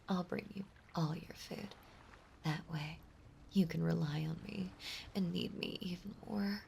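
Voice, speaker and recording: Woman acting a slow, breathy, possessive mermaid. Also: on the low side. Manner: soft, breathy, cooing, lingering on words. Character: possessive, clingy yandere mermaid, sweetly obsessive young woman. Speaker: voice actress in a roleplay audio. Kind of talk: intimate ASMR roleplay acting. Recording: faint background noise, water ambience. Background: ocean ambience sound effects.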